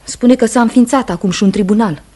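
A woman acts out a wounded farmer's wife in a radio drama. Her voice is husky and grave. She speaks with restrained, earnest reproach and mistrust. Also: fairly high pitch, dark tone, natural voice. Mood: anxious. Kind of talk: Romanian radio theatre acting.

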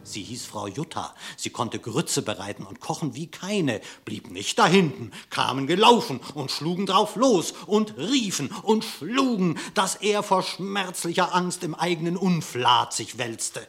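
A man narrating a verse fable theatrically, with dramatic, expressive declamation. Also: on the high side, a little slow, few pauses. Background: music.

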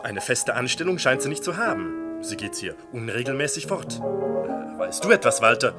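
An actor playing an admiring, inquisitive male flatmate who banters in a German radio play. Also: medium pitch, normal speed, lightly acted. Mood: curious. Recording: loud plucked guitar music behind.

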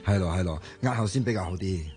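A man's voice: sits low, quick pace, dark tone, faint music behind, close to an everyday voice. A man playing a flustered, earnest young man. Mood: flustered polite. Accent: Hong Kong Cantonese. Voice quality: hesitant, flustered. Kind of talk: broadcast radio drama acting.

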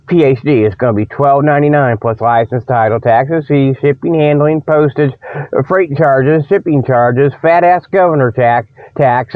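A man with a somewhat high voice hosts a comedy radio show in a folksy, rambling way. He leans on repeated little tags as he delivers tongue-in-cheek sales talk. The recording is dry and slightly muffled. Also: no echo. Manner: folksy, repeats "you know" and "okay" as tags; chuckles at own jokes.

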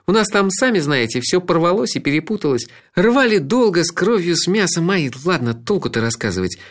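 Brief: a male reader putting on a high, lively woman's voice, teasing and battle-worn, for an audiobook. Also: fairly quick. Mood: wry, stoic. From Croatia. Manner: teasing, matter-of-fact, stoic. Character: wry red-haired woman, warm but battle-worn. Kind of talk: audiobook character voice.

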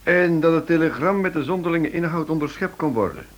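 Keen detective played by a man, slightly high voice. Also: expressive, brisk, close to an everyday voice. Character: alert, inquisitive male detective. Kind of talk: radio play acting.

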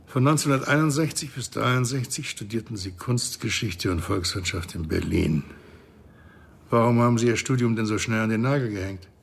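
An actor playing a smug, middle-aged interrogator in a crime radio drama, mock-polite and needling in a husky, gravelly voice. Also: male voice, fairly low pitch, moderate pace. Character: smug, menacing male consultant interrogating his captive.

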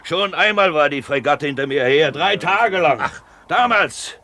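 An actor playing a grizzled, blustering grey-haired merchant captain in a radio drama, with a hoarse, salty, emphatic delivery. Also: male voice, fairly high pitch, animated, measured pace, sharp tone, exaggerated voice. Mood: indignant, gossipy. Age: older. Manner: bawling, emphatic, salty storytelling. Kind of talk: 1970s German radio drama acting.

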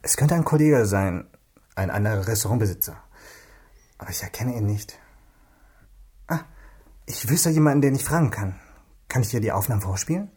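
A man's voice: lowish voice, animated, moderate pace, long pauses, warm tone, husky. A man playing an eager, naive young police interpreter in a radio crime drama, earnest and a little hesitant. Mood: insistent, defensive.

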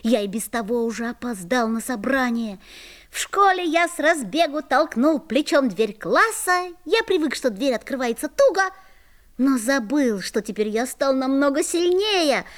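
A woman playing an excitable, mischievous schoolboy who tells his own adventure expressively in a children's audio play. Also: high voice, normal speed. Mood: excited, storytelling.

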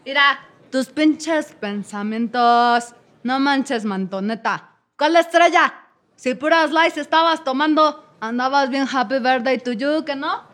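A woman's voice: high-pitched, a little slow, fairly bright tone. A woman with a Mexico City accent playing a brash woman who talks in street slang. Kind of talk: comedic radio play acting.

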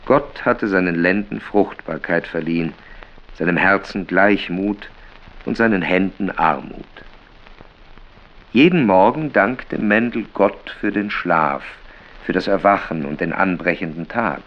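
An actor as a storyteller, reading a literary tale in a measured, plain way with rain behind him. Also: male voice, low voice, lo-fi sound. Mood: solemn, plain. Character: male storyteller of a biblical-toned literary tale. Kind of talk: literary narration.

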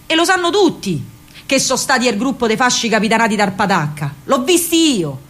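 An actress playing a spirited, indignant working-class girl with a Roman accent, telling her memories in an expressive, colloquial theatrical monologue. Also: a little high, a little fast. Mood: bitter, dismayed. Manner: colloquial, emphatic, expressive storytelling. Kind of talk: theatrical first-person monologue in dialect.

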